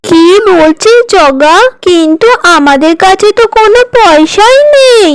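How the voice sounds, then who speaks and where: A woman's voice: extremely high pitch, lively intonation, measured pace, no room sound. A woman voicing a chirpy, friendly little girl bird in a cartoon, chatty and curious.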